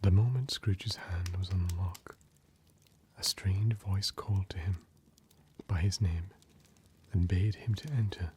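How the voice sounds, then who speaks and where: A man narrating a classic story in ASMR style, mostly in a soft, measured whisper, with noise in the background. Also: sits low.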